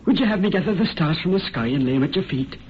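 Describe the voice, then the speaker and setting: An actor playing an ardent, pleading young Irish farmer's son in florid old-time radio melodrama. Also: male voice, a little high, expressive, fast talker, husky. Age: young adult. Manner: impassioned, florid. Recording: muffled, noisy vintage radio recording.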